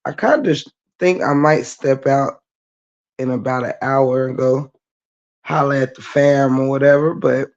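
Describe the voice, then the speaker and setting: A woman with a low, gravelly voice that is extra scratchy today, speaking slowly and easily. She chats with her stream viewers from her bed and greets them one by one. The audio sounds dull and lo-fi. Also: darkish tone. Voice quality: low-toned voice. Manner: laid-back, chatty, greets viewers by name. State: scratchy voice after a night of singing. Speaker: livestream host. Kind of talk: casual chat greeting viewers. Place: lying in bed at home.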